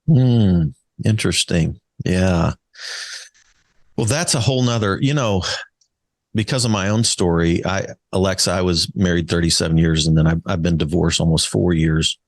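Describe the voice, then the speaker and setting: Host, male, 60s: low and gravelly, easygoing, asks open questions. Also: normal speed, dry recording. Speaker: podcast host. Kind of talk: conversational interview.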